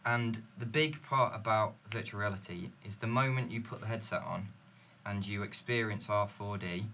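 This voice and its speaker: A man's voice: on the low side, steady tone, normal speed, sharp tone, slight background noise. A man in a panel discussion, explaining fluently and telling stories from his business, recorded in a dim studio lounge. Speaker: company representative.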